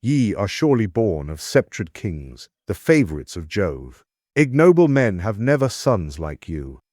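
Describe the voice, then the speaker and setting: A man's voice: on the low side, sing-song, measured pace, a little mellow, no room sound, studio quality. An actor playing a proud, hospitable king in an epic audio drama, with stately, declamatory archaic diction. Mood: gracious, welcoming. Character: proud, hospitable fair-haired warrior king, commanding and worldly.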